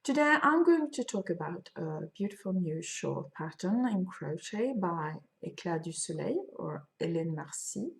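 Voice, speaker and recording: A woman in her fifties with a Swedish accent, a crochet designer chatting casually in her video podcast from a home studio, thoughtful with many pauses. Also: on the low side, animated, gravelly. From Sweden. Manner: slow, thoughtful, with frequent pauses and fillers. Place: home studio room, indoors. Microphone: fixed camera at desk.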